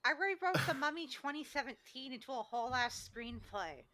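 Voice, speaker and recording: A woman's voice: high-pitched, lively intonation, brisk, a crowd in the background. A woman talking casually as a podcast panelist. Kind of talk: casual chat.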